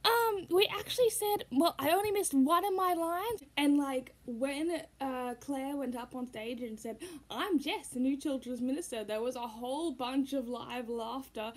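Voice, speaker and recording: A woman's voice: sits high, measured pace, few pauses. A young Australian woman chatting casually to a close camera in a small room, rambling with many fillers. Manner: rambling, full of fillers and laughs. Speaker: vlogger and puppeteer. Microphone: device camera held close.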